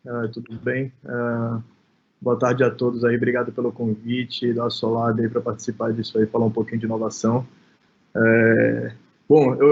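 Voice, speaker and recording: A man's voice: mid-range voice, breathy edge. A man with a Brazilian accent from Bahia, speaking informally as a guest in an online panel, often adding short check-in tags. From Salvador, Brazil. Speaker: innovation manager, guest speaker. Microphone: online call. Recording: dull, lo-fi online call audio.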